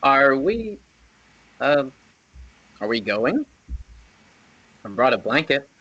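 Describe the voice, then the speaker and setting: A man's voice: medium pitch, sing-song, slow, sharp tone, dull audio, full character voice. A man acting a teasing, sceptical teenage boy in a casual way at a script table read. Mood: awkward, casual.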